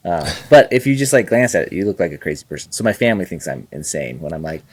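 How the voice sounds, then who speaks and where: A man's voice: low voice, animated, fast talker, darkish tone, breathy edge. A man co-hosting a podcast in casual chat, telling stories in a loose, rambling way. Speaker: podcast co-host, fighter pilot.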